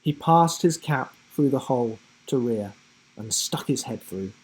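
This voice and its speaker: A man reading a children's storybook aloud in a dramatic, suspenseful way with deliberate pauses. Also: mid-range voice, animated, a little mellow, breathy edge, rough recording. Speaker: children's book narrator. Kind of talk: dramatic scripted storybook narration.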